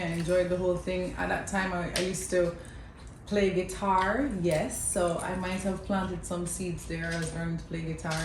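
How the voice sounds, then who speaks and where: A young Jamaican woman casually telling a family story to camera, chatty and lively, laughing often between lines. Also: mid-range voice. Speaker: mother telling her son's birth story. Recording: echoey room with faint background noise.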